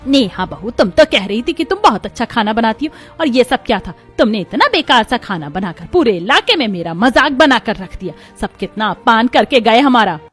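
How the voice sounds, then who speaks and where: डाँटती सख्त सास, आवाज़ देती एक महिला, ऊँची आवाज़. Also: female voice, a little high, very animated, normal speed, few pauses, a bit bright. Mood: scolding. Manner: scolding, dramatic. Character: stern, scolding Indian village mother-in-law. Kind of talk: Hindi moral story cartoon dialogue. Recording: music behind the voice. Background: background music.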